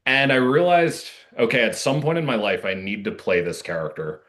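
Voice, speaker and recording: A man with a fairly low voice gives a casual, reflective interview as a writer. He talks in an earnest, discursive way, circling through asides as he explains himself. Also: moderate pace, a bit bright. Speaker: playwright being interviewed.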